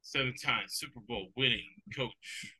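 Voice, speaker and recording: A man with a sharp, forceful, tense voice talks through sports bets in a loose, rambling way, wandering off topic and chuckling as he goes. English is his second language, and it shows now and then. The tone stays chatty and unscripted. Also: medium pitch, a little slow, husky, low-quality recording. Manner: rambling, digressive, laughs between points. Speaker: sports betting podcast host. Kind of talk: casual sports betting chat.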